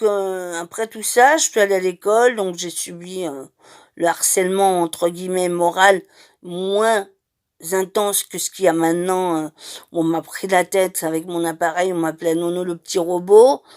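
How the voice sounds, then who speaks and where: Woman, from Madeira, middle-aged, hesitant, personal testimony, unscripted. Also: fairly low pitch. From Madeira, Portugal. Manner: hesitant, many fillers and restarts. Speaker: person telling her life story.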